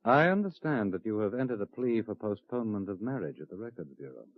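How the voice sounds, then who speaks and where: A man's voice: fairly low pitch, sing-song, fast talker, no room sound. An actor playing a cold, controlling male state official, smug and coldly sarcastic, in a vintage radio drama. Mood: icy. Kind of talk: 1950s radio drama acting. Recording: dry, muffled vintage radio broadcast.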